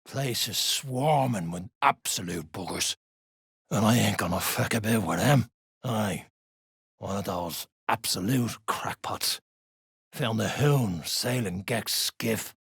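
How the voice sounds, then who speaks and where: An actor playing a foul-mouthed, surly duergar dwarf fighter in a video game, with a rough, husky voice and coarse slang. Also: male voice, fairly low pitch, slow, fairly bright tone, no echo, clean studio sound, full character voice. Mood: gruff, surly. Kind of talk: video game character lines.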